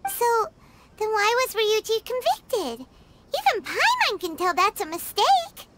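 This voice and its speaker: A woman voicing a tiny, excitable, childlike fairy companion in a video game, with a squeaky voice. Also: slow, full character voice. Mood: indignant, puzzled. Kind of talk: cartoonish video game voice acting.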